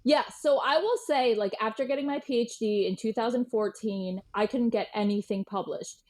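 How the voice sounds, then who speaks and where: A woman's voice: a little high, normal speed, darkish tone. A middle-aged woman speaking casually as an interview guest, chatty, candid and self-deprecating about herself. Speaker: guest journalist and writer.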